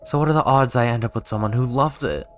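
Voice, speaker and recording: A man's voice: mid-range voice, fast talker, faint music behind, close to an everyday voice. Man voicing a clingy, whiny lovesick woman. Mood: bitter, resigned. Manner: whiny, pleading. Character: clingy, needy, jealous woman pleading for love.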